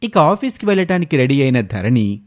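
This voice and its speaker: A man delivering scripted narration as the narrator of an animated moral story. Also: medium pitch, brisk.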